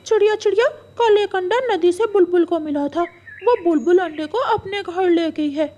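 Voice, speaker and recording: A woman voicing an excitable, helpful male parrot in a chirpy, urgent way for a cartoon. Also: very high voice, normal speed, lo-fi sound, pitched above the performer's own voice. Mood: eager, informative.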